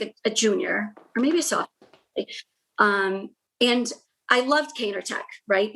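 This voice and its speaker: A woman's voice: medium pitch, vocal fry, low-quality recording. Reflective middle-aged woman guest. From United States. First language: Portuguese. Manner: warm, reflective, frequent "um" and "you know". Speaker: salon owner guest. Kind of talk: casual interview chat.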